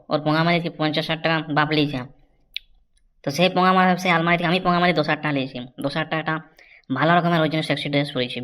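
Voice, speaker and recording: A man's voice: a little high, a bit bright, lightly acted. A man voicing a brash, scheming, foul-mouthed young village man in a crude comedy cartoon, with a rural West Bengal accent. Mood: boastful. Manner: bossy, crude, boastful.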